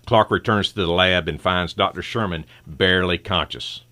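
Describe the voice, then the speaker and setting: A man's voice: low voice, moderate pace, pushed and bright. A man giving dramatic, scripted narration as the narrator of an old-style superhero radio drama.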